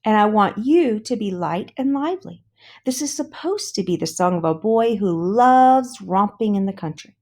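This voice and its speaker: A woman reading a children's storybook, voicing an encouraging, exacting woman orchestra teacher who gives brisk, bright instructions. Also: mid-range voice, lively intonation, voice pushed up, close to an everyday voice. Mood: bright, instructive. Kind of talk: children's storybook read-aloud.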